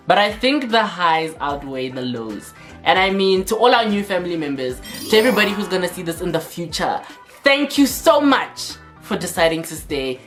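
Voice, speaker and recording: Sassy South African woman vlogging. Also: sits low. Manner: sassy, playful, code-switching banter. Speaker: YouTuber. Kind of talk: casual vlog talk to camera.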